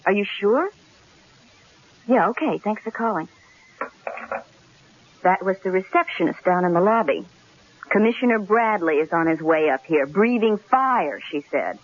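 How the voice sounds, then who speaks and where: Anxious, husky-voiced secretary. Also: female voice, medium pitch, animated, unhurried, long pauses. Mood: concerned. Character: anxious, loyal female secretary at a newspaper. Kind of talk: old-time radio drama acting. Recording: dull, muffled old radio recording.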